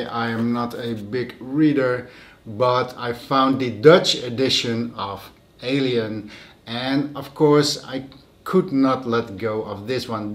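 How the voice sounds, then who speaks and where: A man hosting a casual show-and-tell vlog at home, plain and matter-of-fact about his purchases. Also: mid-range voice. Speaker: host.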